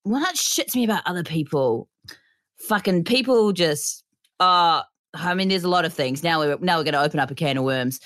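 A low-pitched woman with a nasal voice, talking in a rambling, swear-laden way. Her pitch swoops all over as she chats. The recording is dry and close. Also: animated, moderate pace, fairly bright tone, dry recording. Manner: rambling, laughs often, swears. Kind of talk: casual chat.

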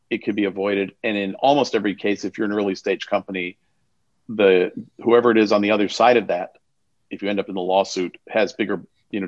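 A man speaking as an investor on an online panel, explaining his views in a reflective, measured way with some restarts. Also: fairly low pitch, dry recording, highs cut off. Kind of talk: conversational online panel talk.

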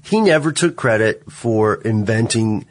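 A man co-hosting a podcast, telling background history in a relaxed conversational way. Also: fairly low pitch, a little slow. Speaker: podcast co-host. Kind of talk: casual chat.

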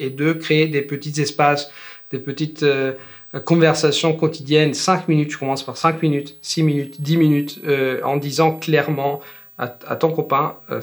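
A man in his thirties from Italy with an Italian accent, talking as a language-teacher guest in a relaxed, rambling way with many fillers and restarts. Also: medium pitch. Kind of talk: casual conversational interview.

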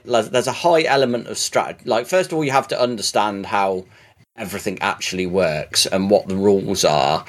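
A man chatting casually on a podcast, rambling with many restarts and swearing now and then as he gets excited. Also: on the low side. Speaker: podcast co-host. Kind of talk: casual podcast chat about games.

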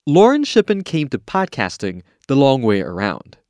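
A man's voice: mid-range voice, huge pitch swings, moderate pace, darkish tone, no echo. A man hosting a podcast, moving between scripted narration and interview conversation. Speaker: podcast host.

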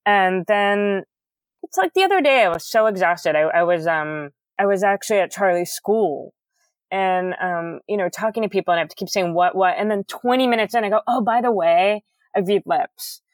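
A woman guest in a candid interview, speaking loudly and enunciating carefully, earnest and animated with many fillers. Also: medium pitch, normal speed, no echo. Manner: loud, carefully enunciated speech.

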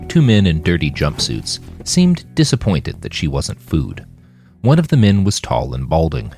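Male fiction narrator, very low voice, highly animated delivery, dry recording. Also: no echo. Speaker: audiobook narrator.

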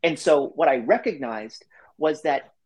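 Man, husky voice, chatty, quick talker, laughs easily, remote interview. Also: on the high side, expressive, a little mellow. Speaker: podcast guest, company founder. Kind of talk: casual interview talk.

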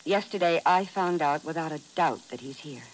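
An actress playing an earnest, insistent deserted American wife, melodramatic in the manner of 1950s radio drama. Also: female voice, low voice, lively intonation, normal speed, rough recording. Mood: composed, earnest. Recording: old radio recording with faint hiss.

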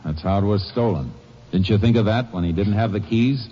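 An actor playing a tough, laconic Texas Ranger in 1950s radio drama style, terse and commanding. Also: male voice, lowish voice, fairly quick, warm tone, breathy edge. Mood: stern, probing. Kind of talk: 1950s radio drama acting and first-person narration. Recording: muffled old radio recording with music.

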